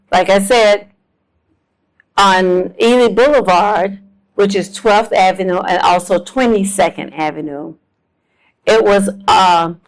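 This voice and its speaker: Older woman in her 70s, slow and unhurried, homespun storyteller with ums and wry self-mocking humor, speaking to a room. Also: medium pitch, rough recording. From South Florida, US. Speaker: guest speaker. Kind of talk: storytelling talk to an audience. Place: lecture room with a live audience.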